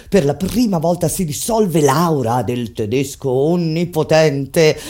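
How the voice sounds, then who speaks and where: A woman playing a resistance fighter who recounts a wartime uprising in solemn, deliberate, declamatory theatrical narration. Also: deep voice. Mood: grave, subdued. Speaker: stage actor and narrator. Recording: loud music under the voice. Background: loud live orchestra music.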